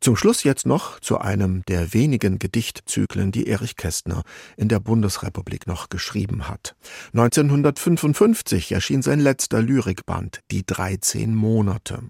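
A man presenting a scripted radio music programme as its host, with a gravelly, husky voice. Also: fairly low pitch, animated, normal speed, darkish tone, no echo, studio quality.

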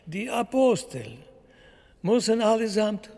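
A man playing a scheming, gleeful cardinal in a radio play, with pompous, deliberate declamation. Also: sits high, warm tone. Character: scheming, gleeful cardinal presiding over a church council. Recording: long hall reverb, music behind.

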